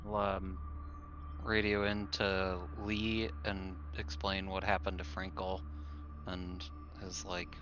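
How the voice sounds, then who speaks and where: A man's voice: low-pitched, monotone, slow pace, dull audio, over music. A man playing a tabletop game, casually stating what his character does.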